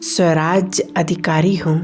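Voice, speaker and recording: A woman leading a guided meditation in deliberate phrases with pauses between them. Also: lowish voice, little pitch movement, darkish tone. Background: meditation music.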